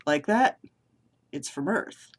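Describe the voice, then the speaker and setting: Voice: medium pitch, animated, slow pace, husky. A vlogger from New England chatting casually to the camera, rambling and self-deprecating with many restarts. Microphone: camera mic.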